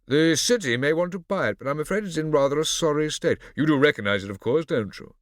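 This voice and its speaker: A man's voice: on the high side, lively intonation, fast, no echo, clean studio sound, voice pushed up, close to an everyday voice. A man voicing a cocky teenage boy putting on a condescending grown-up tone. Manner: condescending, smooth. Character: cocky teenage boy imitating a haughty doctor. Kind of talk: audiobook character voice.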